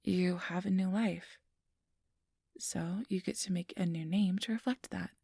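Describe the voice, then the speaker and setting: Soft, dry-miked woman acting as a gentle clerk. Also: on the low side, fairly even intonation, a little slow, creaky, breathy edge, no room sound, heavily acted. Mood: gentle, encouraging. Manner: gentle, reassuring, hesitant. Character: friendly, flustered female clerk registering new vampires. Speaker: interviewer. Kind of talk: soft-spoken ASMR roleplay.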